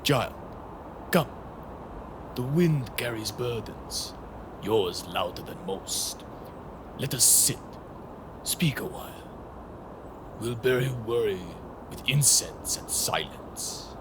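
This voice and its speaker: A man playing a wise old mystic master in an audio drama, speaking in cryptic, poetic phrases with a creaky voice. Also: medium pitch, expressive. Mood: gentle, knowing. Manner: slow, cryptic, poetic speech. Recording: clearly noisy recording.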